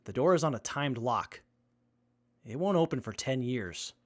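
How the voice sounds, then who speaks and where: A man plays a young male tech whiz in a wasteland radio play. He is earnest and methodical, speaking in a mid-range voice with measured, careful delivery. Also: medium pitch, animated, lightly acted. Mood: grave. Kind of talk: post-apocalyptic audio drama acting.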